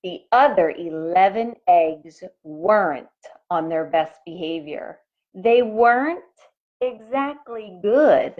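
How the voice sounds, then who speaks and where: A woman reading a picture book aloud to young children in an expressive way, adding explanations and questions as she goes. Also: mid-range voice, slow. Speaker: elementary school teacher reading aloud. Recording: phone-like, narrow-band sound.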